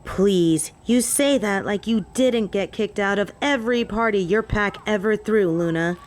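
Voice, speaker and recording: A woman's voice: unhurried, slight background noise, lightly acted. A woman voicing a haughty, jealous female vampire in a roleplay audio drama, snippy, indignant and easily flustered. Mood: mocking. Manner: snippy, indignant, higher-pitched.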